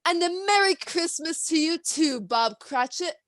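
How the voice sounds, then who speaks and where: A woman's voice: extremely high pitch, animated, a little slow, a bit bright, dry recording, exaggerated voice. A young woman playing a cheerful, earnest nephew in a school radio play, theatrical and pleading. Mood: pleading, warm. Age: teenager or young adult.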